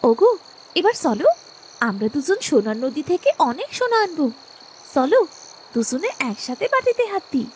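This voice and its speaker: A woman's voice: high-pitched, big pitch swings, moderate pace, pauses often, a little hiss or hum. A woman playing a greedy, scheming village wife in a fairy-tale cartoon, exclaiming theatrically. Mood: eager, greedy.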